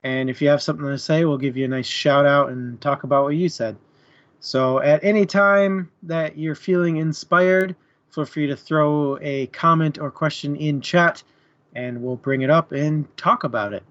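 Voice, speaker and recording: A man's voice: on the high side, normal speed, a bit bright, muffled recording. A man co-hosting a casual book-chat livestream, talking informally to the viewers. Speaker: podcast co-host.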